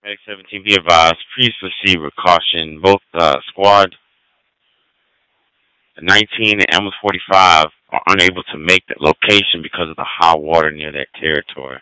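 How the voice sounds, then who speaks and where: A man's voice: low voice, steady tone, normal speed, bright, forceful tone, clear, resonant tone, no room sound, low-quality recording. A man dispatching fire and emergency units over two-way radio, procedural and repeating key details.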